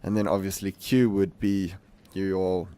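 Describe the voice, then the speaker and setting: A man hosting a gaming video with casual, rambling commentary, halting and correcting himself as he goes. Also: sits low, little pitch movement, dark tone, smooth. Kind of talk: casual game showcase commentary. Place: voice-over on game capture.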